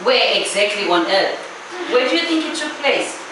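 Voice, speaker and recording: A woman's voice: mid-range voice, big pitch swings, normal speed. A woman with a Zimbabwean accent teaching a primary school class, asking questions and insistently repeating them and the answers. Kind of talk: classroom lesson with questions to pupils. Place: classroom, indoors. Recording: echoey classroom, distant mic, clear noise.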